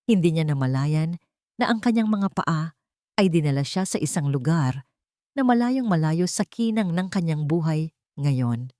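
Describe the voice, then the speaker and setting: Female Filipino story narrator, dramatic scripted read, slightly low register, close dry sound. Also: animated, moderate pace, dark tone, no room sound.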